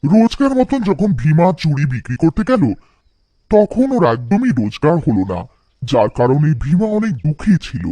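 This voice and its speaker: A man's voice: a little high, big pitch swings, normal speed, mellow, dry recording. A man narrating a scripted cartoon folktale for children.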